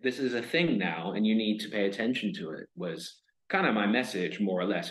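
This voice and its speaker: Loud-voiced man in a roomy echo. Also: on the low side. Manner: loose, rambling, heavy with fillers and swearing. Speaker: podcast guest. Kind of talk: casual storytelling chat. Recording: roomy echoey room sound.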